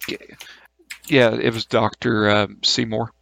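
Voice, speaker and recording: Gravelly man running a tabletop game. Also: fairly low pitch, level tone, a bit bright, a little hiss or hum. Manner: halting, colloquial, informal explanations. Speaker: game master. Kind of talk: improvised tabletop game narration.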